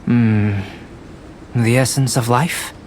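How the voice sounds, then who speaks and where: Man playing a calm, cerebral young alchemist. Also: medium pitch, a little hiss or hum, natural voice. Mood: thoughtful. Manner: measured, formal, analytical. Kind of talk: English video game voice acting.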